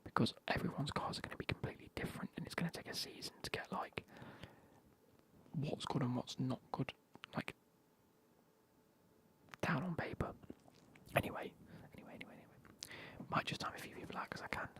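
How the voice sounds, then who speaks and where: Guy streaming, whispering the whole time, joking with chat, mid pitch. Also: brisk, fairly bright tone. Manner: whispering, banter with chat, swearing. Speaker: live streamer.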